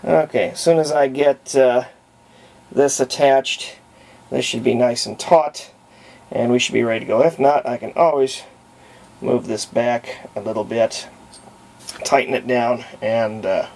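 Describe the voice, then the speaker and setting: A man giving a casual hands-on tutorial in a folksy, unhurried way, thinking aloud as he works, with a gravelly voice. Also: mid-range voice, steady tone, a little mellow. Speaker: radio repair hobbyist demonstrating. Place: indoors at home, hands-on at workbench. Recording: faint background noise, home workshop.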